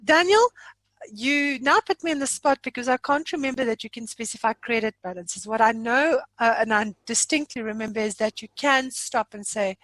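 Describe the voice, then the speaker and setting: A woman's voice: on the high side, moderate pace, fairly bright tone, dry recording, rough recording. A woman hosting an informal online training session in a jokey, chatty, self-deprecating way. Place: remote online meeting from own room.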